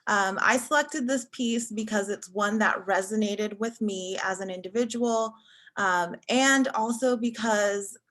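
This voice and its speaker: A woman reading a prose essay aloud at a literary event in a measured, earnest way. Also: medium pitch, a bit bright. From Africa. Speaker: event reader.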